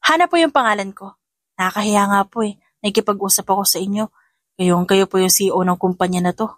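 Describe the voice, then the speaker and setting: A woman's voice: medium pitch, fast talker, no room sound, clean studio sound, close to an everyday voice. A woman voicing a feisty young female janitor in an audio drama, cheeky and defiant, though polite to elders. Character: feisty young female janitor who fights back at bullies.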